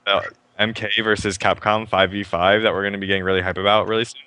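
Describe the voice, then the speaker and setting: A young man hosting a live stream show, talking fast and upbeat about a fighting game and often restarting his sentences. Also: on the low side, little pitch movement, sharp tone, clear, resonant tone, dry recording. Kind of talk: casual game commentary.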